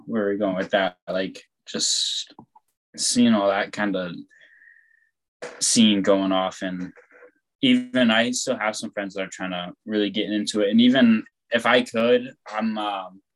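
A young man talks in a relaxed, rambling way, dropping swear words easily. His voice sits a bit low and carries loud. It sounds like an easygoing chat between friends. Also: unhurried, low-quality recording. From born on the West Coast, raised on the East Coast of the US. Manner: rambling, laid-back, swears freely. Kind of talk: casual chat.

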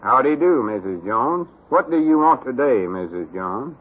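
A man's voice: mid-range voice, lively intonation, normal speed, husky. An actor playing a bossy, self-important country man with a Southern US accent, officious and exasperated, in an old-time radio comedy. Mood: stiff, pompous. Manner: exasperated, officious, stiffly pompous when reading. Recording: muffled, worn old radio recording.

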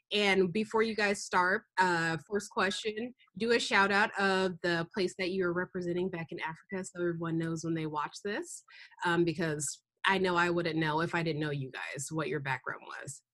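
A woman's voice: on the low side, a bit bright. A woman, hosting a podcast and moderating a group discussion. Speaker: podcast host.

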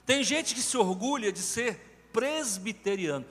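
A man's voice: high voice, animated, a little slow, a bit bright. A man with a Brazilian Portuguese accent, preaching an emphatic sermon as pastor to his congregation in a large church. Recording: reverberant church hall with faint music.

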